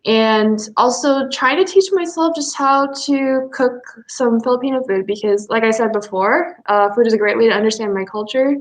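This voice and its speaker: A woman speaking as a panelist in a casual chat, relaxed and trailing off as she finishes. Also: a little high, moderate pace, few pauses.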